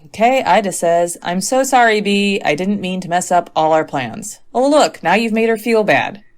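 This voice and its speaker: A woman's voice: mid-range voice, animated, moderate pace, lightly acted. A woman playing a tired, sensible teacher in a comic phone-call sketch, dry and exasperated.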